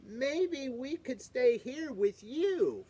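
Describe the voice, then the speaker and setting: A woman's voice: high-pitched, lively intonation, very slow, warm tone, breathy edge, voice pushed up, full character voice. A woman voicing a shy, earnest young male reindeer in a storybook read-aloud.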